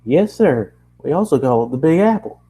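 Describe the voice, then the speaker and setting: Man putting on an excitable, high southern cartoon pony mare. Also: moderate pace, slightly rough. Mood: excited, eager. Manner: excitable, eager.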